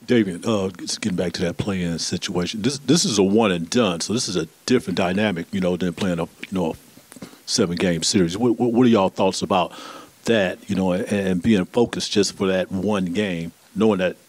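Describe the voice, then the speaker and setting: A male reporter asking a halting question, repeating words, heard from a distance. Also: deep voice, slightly rough.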